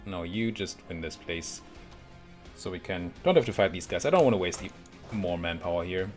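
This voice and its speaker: Low-voiced man muttering through game commentary. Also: moderate pace, a little mellow, soft background music. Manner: thinks aloud, muttering to himself. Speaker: video game streamer playing a strategy game. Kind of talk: casual let's play commentary.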